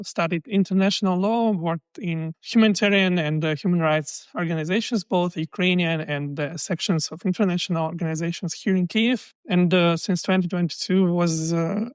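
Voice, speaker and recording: A man born in Uzbekistan introduces himself as a podcast guest in a smooth, somewhat high voice. He hesitates often and fills gaps with "uh", and the sound is close and dry. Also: no echo. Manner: hesitant, with many fillers. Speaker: guest, human rights expert.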